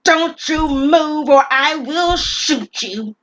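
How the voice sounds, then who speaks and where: Woman acting a forceful, desperate middle-aged male robber. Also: high voice, takes it slowly, lo-fi sound, voice pushed up. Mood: menacing. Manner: forceful, bitter ranting. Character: unstable, desperate robber, man in his forties. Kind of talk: audio drama acting.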